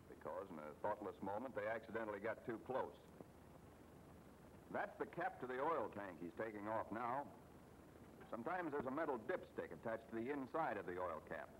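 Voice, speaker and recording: A man's voice: lively intonation, normal speed. A man giving scripted instructional narration for a training film, explaining things in a folksy way with wry asides. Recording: noisy old training film soundtrack, engine rumble.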